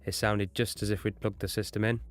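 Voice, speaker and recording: A man with a fairly low voice gives an explanatory audio lesson. He talks as an instructor walking through a technical point. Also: little pitch movement, fairly quick, no echo, faint music behind.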